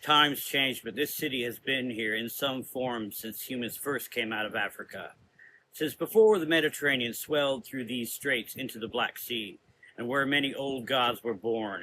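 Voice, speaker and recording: A man's voice: medium pitch, normal speed, pushed and bright, a little hiss or hum, lightly acted, rough recording. A man playing a brooding, formal traveler with a solemn, dryly ironic manner in a gothic audio drama. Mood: grave, reflective.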